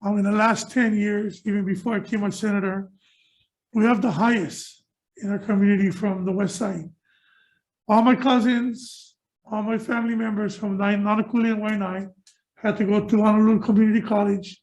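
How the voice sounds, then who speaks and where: A man's voice: high voice, flat delivery, normal speed, darkish tone, poor audio. A man from Hawaii speaking as a state senator at a hearing, earnest and conversational, with informal phrasing. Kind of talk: legislative hearing comment. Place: hearing room.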